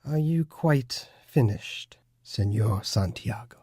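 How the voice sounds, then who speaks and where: A man playing a cold, formal villainous interrogator, slow and deliberate in an audiobook drama. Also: fairly low pitch, lively intonation, dark tone, slightly rough, no room sound, clean studio sound, heavily acted. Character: menacing male Illuminati interrogator, formal and cold. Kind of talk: full-cast audiobook acting.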